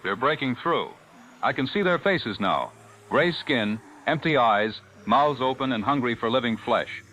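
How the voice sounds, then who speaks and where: Man acting a doomed, forceful 1940s radio newsman. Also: medium pitch, expressive, measured pace, slight background noise, poor audio. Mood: grim, urgent. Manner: grave, solemn, declamatory. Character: doomed 1940s radio newsman giving a final zombie-apocalypse broadcast. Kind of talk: 1940s radio drama acting, scripted news broadcast.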